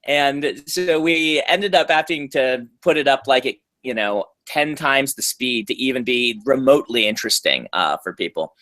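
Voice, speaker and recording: Male author, mid-range voice, relaxed interview answers, lots of ums and restarts. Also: mid-range voice, moderate pace, hardly any pauses. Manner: thoughtful, frequent ums and restarts.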